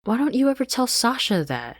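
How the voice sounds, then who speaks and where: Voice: medium pitch, sing-song, brisk, dry recording, clean studio sound, voice pushed up. A narrator voicing an insistent, indignant teenage schoolgirl who complains with stubborn emphasis, in a fan fiction reading. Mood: aggrieved, complaining.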